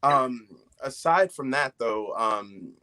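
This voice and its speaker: A man in his twenties giving a candid interview answer over a video call, reflective and pausing mid-thought. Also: medium pitch, lively intonation, bright, forceful tone. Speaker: actor. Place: small indoor room at home.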